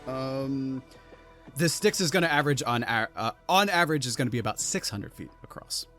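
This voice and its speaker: Halting, deliberate man narrating a tabletop game. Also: mid-range voice, expressive, sharp tone. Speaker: game master. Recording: music behind the voice. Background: background music.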